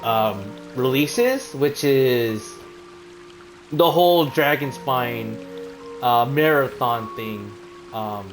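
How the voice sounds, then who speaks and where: Chatty male game streamer with soft music. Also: mid-range voice, lively intonation, extremely slow. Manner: hesitant, many fillers and restarts. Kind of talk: casual game commentary reading menus aloud.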